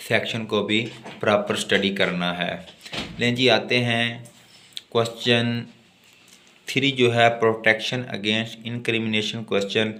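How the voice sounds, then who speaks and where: A man with a Pakistani accent lectures on law in a lowish, very bright and forceful voice. He explains in a didactic way, moving between his own explanations and formal text read out for students. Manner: didactic, switching between Urdu explanation and slow English reading. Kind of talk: exam lesson, explaining and reading out legal text.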